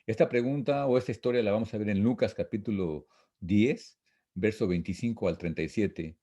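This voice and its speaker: A man teaching an informal Bible lesson, reading and explaining verses in a repetitive, halting, didactic way. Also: lowish voice, warm tone. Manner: didactic, repetitive, halting with frequent false starts.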